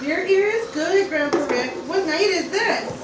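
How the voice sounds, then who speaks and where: Coolly polite teenage granddaughter, parody play, acted by a woman, very high voice, distant and noisy. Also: lively intonation, a little slow, heavy reverb.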